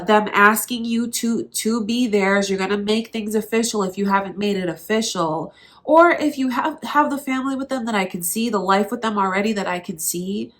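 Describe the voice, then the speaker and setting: A woman's voice: medium pitch, fairly bright tone. Woman, nasal, stuffy nose, tarot reader, earnest, reassuring. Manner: earnest, reassuring, speaks to viewers directly. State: blocked nose from allergies. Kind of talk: tarot reading to camera.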